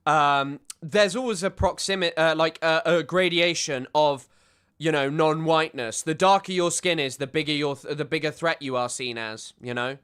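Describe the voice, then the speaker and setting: Sarcastic man, bright voice. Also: a little high, moderate pace, clean tone. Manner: sarcastic, rambling, with frequent restarts. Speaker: streamer reacting to a clip. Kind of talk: casual commentary.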